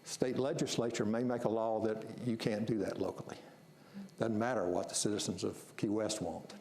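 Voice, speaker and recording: Gravelly man explaining in an echoey room. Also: lowish voice, fairly even intonation, normal speed, darkish tone. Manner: firm, explanatory, repeats words. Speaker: strategic planning facilitator. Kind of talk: explaining at a public meeting. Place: city meeting room. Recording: echoey meeting room, faint noise.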